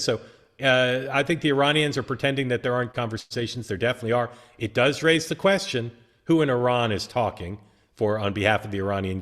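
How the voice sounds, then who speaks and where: A man's voice: medium pitch, a bit bright. A man hosting a political talk show in a casual, easygoing way, leading the talk and putting questions to his co-hosts, with frequent filler sounds. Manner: leads the discussion and poses questions to co-hosts.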